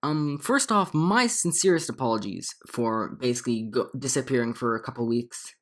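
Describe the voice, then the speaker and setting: Slightly hoarse young man rambling. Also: mid-range voice, lively intonation, moderate pace, fairly bright tone. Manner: rambling, frequent ums and restarts. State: hoarse from a cold. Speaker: gaming channel host. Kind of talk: casual gameplay commentary.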